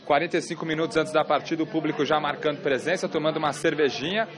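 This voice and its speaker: A man's voice: on the high side, quick pace. A man working as a field reporter, chatting and joking with fans in a crowded pub. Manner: lively, chatty, jokes with fans. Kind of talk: on-location sports report and street interviews. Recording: busy pub crowd behind.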